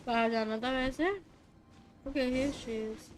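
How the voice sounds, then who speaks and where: A woman's voice: high-pitched, steady tone, racing, slight background noise. A woman with an Indian accent giving casual live commentary and muttered reactions as a game streamer.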